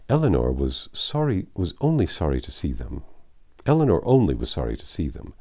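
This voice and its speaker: A man's voice: deep voice, mellow. A man narrating a classic novel aloud as an audiobook reader.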